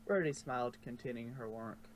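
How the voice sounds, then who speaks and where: A man's voice: mid-range voice, fairly quick. A man reading scripted story narration aloud as the narrator. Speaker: narrator of a fan fiction reading. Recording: faint background noise.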